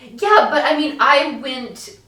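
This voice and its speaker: A young woman co-hosting a casual podcast, telling stories in an animated way with lots of fillers. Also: high-pitched, slow pace, recorded from a distance. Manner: animated storytelling, many fillers. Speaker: podcast co-host. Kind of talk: casual chat. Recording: echoey room, voice off-mic.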